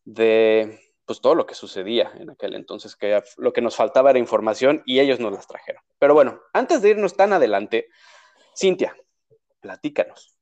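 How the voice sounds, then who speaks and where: A man's voice: medium pitch, animated, moderate pace, a little mellow, dull audio. Chatty man with a Mexico City accent. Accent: Mexico City Spanish. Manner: chatty, with many fillers and restarts. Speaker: podcast host. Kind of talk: casual interview.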